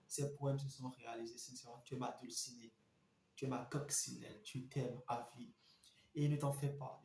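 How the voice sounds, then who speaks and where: A man voicing a smooth-talking young man wooing a woman, speaking in a soft, low croon. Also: reverberant, close to an everyday voice.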